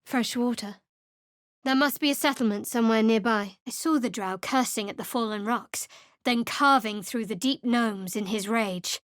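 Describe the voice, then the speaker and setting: Actress for a guarded female cleric, wry and cool, slightly high voice, dry studio read. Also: moderate pace, no room sound, natural voice. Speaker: game character. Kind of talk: video game voice acting. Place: studio booth. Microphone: studio mic.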